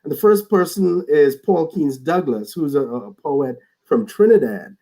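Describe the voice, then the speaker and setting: A man's voice: fairly high pitch, lively intonation, a little slow, warm tone. A man speaking as a guest in a reflective conversation over a video call, earnest, often repeating words as he starts a thought.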